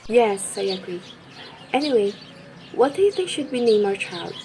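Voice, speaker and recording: A woman's voice: medium pitch, big pitch swings, normal speed, mellow, a little hiss or hum. A woman playing a caring young husband. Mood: earnest. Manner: earnest, concerned. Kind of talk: amateur radio play acting.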